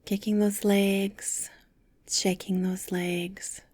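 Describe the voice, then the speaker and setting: A woman leading a guided meditation with soft, gentle, soothing instructions, breathy and often close to a whisper. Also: medium pitch, level tone, very slow, dry recording.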